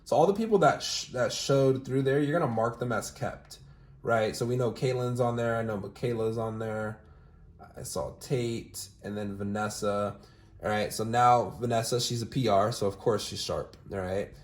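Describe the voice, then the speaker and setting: Casual young male recruiter, upbeat tutorial delivery, lots of "all right?", mid pitch, echoey room. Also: normal speed. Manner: upbeat, filler-heavy, says "all right?" often. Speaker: recruiting manager demonstrating callbacks. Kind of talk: casual screen-share walkthrough, then phone interview. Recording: roomy echo.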